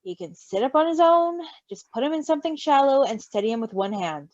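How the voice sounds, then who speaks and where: A woman's voice: sits high, sing-song, brisk, no echo, muffled recording. Storybook crow, male female reader, prim and easily ruffled, precise formal diction, very dry sound. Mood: stern, instructive. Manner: formal, precise, haughty wording.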